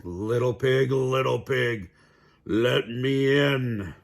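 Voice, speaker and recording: A man's voice: mid-range voice, very slow, creaky. A man voicing a hungry, menacing storybook wolf who draws out his threats in a gleeful sing-song. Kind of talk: children's storybook read-aloud.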